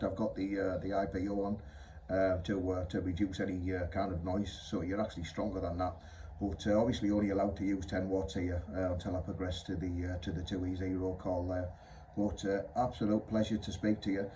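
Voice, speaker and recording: A man's voice: deep voice, level tone, a little fast, dark tone. A man chatting politely and informally as an amateur radio operator during a two-way contact. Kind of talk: unscripted two-way radio chat. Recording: faint background hiss.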